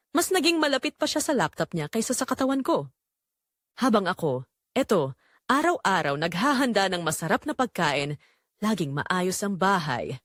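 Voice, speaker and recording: Woman to play a quiet, neglected wife with hidden longing; Filipino accent, confiding dramatic audio-drama narration, very expressive pitch movement, close dry sound. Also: no echo. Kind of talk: first-person dramatic audio story narration.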